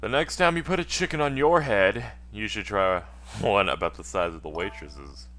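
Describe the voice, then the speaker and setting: A man's voice: fairly low pitch, moderate pace, a bit bright, close to an everyday voice. A man voicing a wry, cool-headed ghost from a video game. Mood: teasing. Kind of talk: video game character dub in a let's play.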